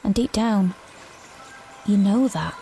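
A woman's voice: medium pitch, slow, gravelly, dark tone, a little hiss or hum. An actress playing a wry, reflective young woman who narrates her own story confidingly in a sci-fi audio drama. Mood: brooding. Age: young adult. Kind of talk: first-person scripted drama narration.

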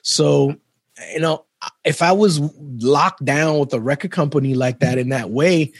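A man's voice: on the high side, unhurried, husky, no echo, studio quality. A middle-aged man from Trinidad, a musician and chef, chatting casually in an interview, laid-back and rambling, laughing often.

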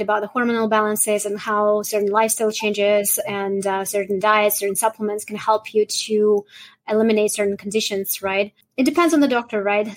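A woman from Russia, answering interview questions in a rambling way and often adding "right?". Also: medium pitch. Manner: rambling, frequent "right?" tags, light laughs. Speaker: guest, holistic nutritionist.

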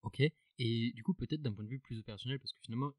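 A man's voice: medium pitch, very fast, a little mellow, no echo. A man hosting a podcast, asking loose follow-up questions in a casual way. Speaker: podcast host.

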